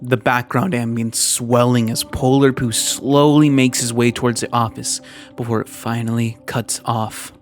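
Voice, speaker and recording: A man with a mid-pitched voice narrates scripted commentary over faint music. He is measured and wry, drawing out the odd word for emphasis like a video essay host. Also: flat delivery. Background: background music.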